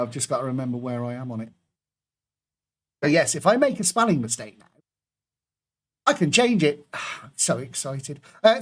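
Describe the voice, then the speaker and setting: A man hosting an online pub quiz, reading out clues and adding jokey asides between them. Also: a little high.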